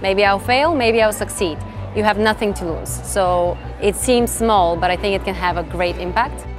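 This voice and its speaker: A woman in her thirties from Bulgaria giving measured, reflective interview answers with some fillers and restarts. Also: medium pitch. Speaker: interviewee, tech executive. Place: event interview set, indoors. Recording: music bed behind voice.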